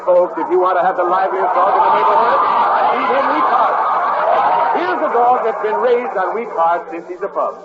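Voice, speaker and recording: A man in a 1940s radio comedy, hamming up a mock commercial in an exaggerated pitchman's manner. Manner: hammy, exaggerated pitchman delivery. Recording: muffled 1940s radio broadcast with loud hiss.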